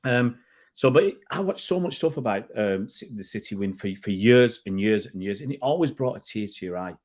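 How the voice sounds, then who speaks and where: A middle-aged man from England hosts a casual football chat in a slightly low voice. He is chatty and often repeats or restarts his words. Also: expressive, normal speed, darkish tone. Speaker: fan channel host.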